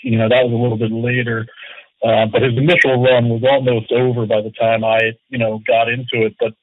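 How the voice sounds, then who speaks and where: A man's voice: mid-range voice, little pitch movement, fairly quick, no echo. A man in his early forties from Atlantic City, a guest answering questions in rambling, friendly talk full of fillers. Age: 40s. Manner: rambling, lots of ums and you-knows, friendly. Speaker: comics writer and editor, guest. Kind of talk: casual interview. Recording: dry, tinny phone-like line.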